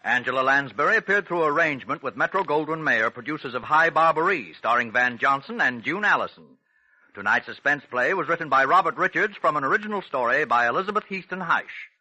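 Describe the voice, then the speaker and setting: Forceful, bright American radio announcer man. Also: fairly high pitch, lively intonation, fairly quick, low-quality recording. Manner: polished, formal. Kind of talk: 1940s radio announcing, scripted.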